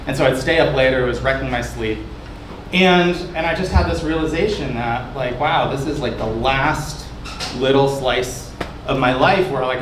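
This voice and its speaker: A man giving a loose, chatty presentation of his app, with lots of fillers and restarts. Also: on the high side. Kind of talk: casual demo talk. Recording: echoey room with background noise.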